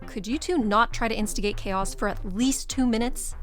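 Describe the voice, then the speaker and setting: A woman's voice: fairly high pitch, normal speed, faint music behind, close to an everyday voice. A woman playing an irritable, worried and sardonic space crew member in an audio drama, exasperated and sarcastic.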